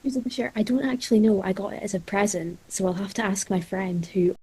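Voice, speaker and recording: A woman's voice: mid-range voice, fast, mellow, slightly rough. A nineteen-year-old Scottish woman chatting casually as a podcast co-host. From Scotland. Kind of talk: casual chat.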